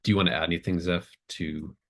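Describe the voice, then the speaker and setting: A man with a very deep voice runs a tabletop game. He improvises the narration conversationally, feeling out each phrase as he goes and thinking aloud in a casual style. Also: little pitch movement, fast talker, a bit bright. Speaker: game master. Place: remote online group call.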